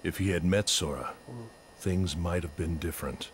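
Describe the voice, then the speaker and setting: A man voicing a brooding, dark-powered figure in a video game, slow and solemn. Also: sits low, slight background noise, lightly acted.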